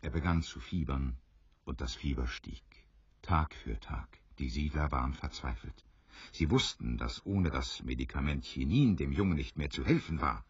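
A man narrating an adventure radio play as a grave, expressive storyteller who builds suspense. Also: extremely low pitch, moderate pace, husky, no room sound, full character voice. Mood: suspenseful. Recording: slightly dull, dry studio recording.

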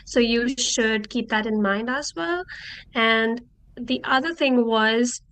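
A woman's voice: a little high, slow pace, fairly bright tone, low-quality recording. A young woman from Nepal, a native Nepali speaker and master's student, giving tips in a friendly, hesitant way with many fillers over a video call. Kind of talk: casual testimonial.

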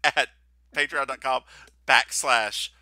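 A man co-hosting a podcast in casual chat, animated and teasing with a theatrical flair. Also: medium pitch, slow pace, no echo, clean studio sound. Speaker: podcast co-host.

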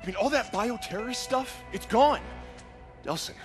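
A man's voice: extremely high pitch, expressive, normal speed. An actor playing a straight-laced, protective older brother in a video game, earnest and pleading in his exasperation. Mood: hopeful, urgent. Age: young adult. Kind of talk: cinematic video game acting. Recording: dramatic music behind the voice.

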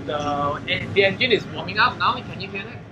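A man's voice: high-pitched, expressive, a little fast, music in the background. A man in his thirties narrating a casual travel vlog indoors into a handheld camera. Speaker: travel vlogger. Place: indoor visitor attraction. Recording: very noisy on-location camera sound.

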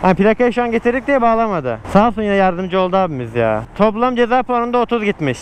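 A young man chatting casually to his viewers while riding a motorcycle outdoors through a city at night, joking as he goes, recorded on a helmet mic over loud road noise. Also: high-pitched, lively intonation, fast talker. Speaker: motovlog host.

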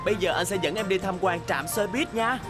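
A woman voicing a cheerful, friendly young boy bus in a cartoon dub, laughing warmly like a big brother. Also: medium pitch, fairly quick, over loud music, natural voice. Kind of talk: cartoon dubbing.

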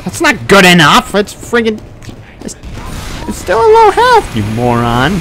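A man's voice: high voice, wildly swooping pitch, unhurried, bright, forceful tone, soft background music. A man giving casual, improvised gameplay commentary, rambling in a self-deprecating way and often repeating himself. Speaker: gaming video creator. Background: video game sounds.

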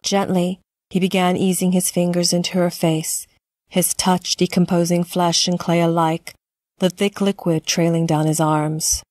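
A woman narrating a scripted horror story in a measured, dramatic storytelling manner. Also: on the low side, level tone, darkish tone, no room sound.

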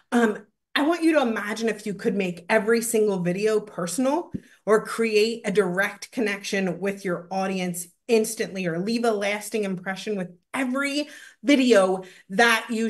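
A woman in her thirties hosting a webinar from home over a video call, presenting a product with excited, gushing talk and many fillers. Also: fairly high pitch. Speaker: webinar host. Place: home room, indoors. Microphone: video call webcam.

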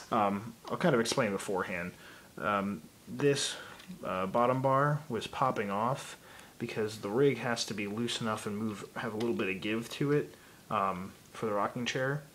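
A hoarse young man in his twenties, casually explaining a home project while filming on a handheld phone, with lots of ums. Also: lowish voice, fairly bright tone, a little hiss or hum. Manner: rambling, many ums, explaining while handling gear. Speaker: hobbyist showing a Halloween haunt build. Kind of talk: casual filmed walkthrough. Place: room in a home, indoors. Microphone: handheld phone camera.

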